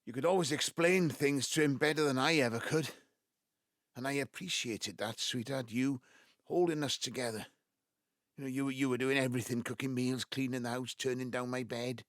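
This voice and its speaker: A male actor as an ageing Welsh patriarch in an audio drama. He speaks haltingly and with feeling, by turns tender and self-justifying. The sound is dry and intimate, and his pitch sits in the middle for a man. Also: a bit bright, no room sound, natural voice. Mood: tender, grateful. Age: 70s. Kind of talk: naturalistic audio drama acting.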